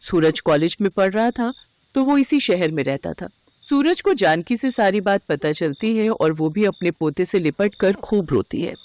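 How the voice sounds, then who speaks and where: A woman's voice: lowish voice, a little mellow. A woman storyteller reading scripted narration for a children's moral tale.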